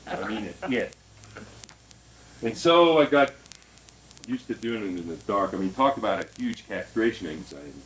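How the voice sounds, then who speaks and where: An actor playing an earnest man in his forties, coaxing and animated as he talks. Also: fairly low pitch, normal speed, pauses often, a little hiss or hum, low-quality recording. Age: 40s.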